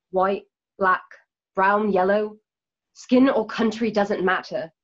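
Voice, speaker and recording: A woman playing a solemn, idealistic rebel in a radio play, earnest and oratorical, over a video call. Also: medium pitch. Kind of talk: remote radio play reading. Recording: dull lo-fi video call audio.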